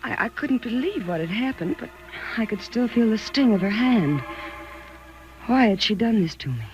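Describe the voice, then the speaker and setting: An actress playing a frightened, distraught wife in a 1940s radio thriller, speaking in an earnest, halting, dramatic way. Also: female voice, on the high side, animated, darkish tone, lo-fi sound, soft background music, close to an everyday voice. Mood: tense, reflective. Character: frightened, distraught wife narrating her own ordeal. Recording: noisy vintage 1940s radio recording.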